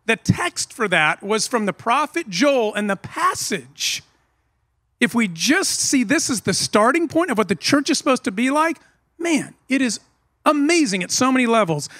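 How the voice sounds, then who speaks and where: A man's voice: extremely high pitch, sing-song, normal speed, fairly bright tone, no room sound. A middle-aged man preaching a sermon outdoors under a tent, earnest and emphatic, into a stand mic. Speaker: pastor.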